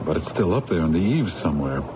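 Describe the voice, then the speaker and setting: A man's voice: low voice, sing-song, quick pace. An actor playing an uneasy, wry, educated young man in a radio mystery drama. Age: young adult. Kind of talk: radio drama acting and first-person narration. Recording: dull old radio recording, loud music.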